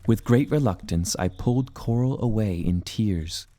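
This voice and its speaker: Low-pitched man narrating an adventure story. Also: measured pace, dark tone, no echo. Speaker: narrator telling the story in first person. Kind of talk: scripted dramatic audio fiction narration. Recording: music behind the voice.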